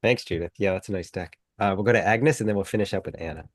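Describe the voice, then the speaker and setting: A woman moderating a video call workshop, brisk and practical as she calls on participants. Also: very deep voice, no echo, studio quality.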